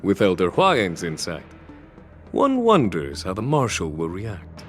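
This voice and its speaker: A man playing a composed, courteous commander in a video game, speaking in a measured, formal, thoughtful manner. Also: deep voice, wildly swooping pitch, faint music behind, heavily acted. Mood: measured, diplomatic. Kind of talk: scripted video game dialogue.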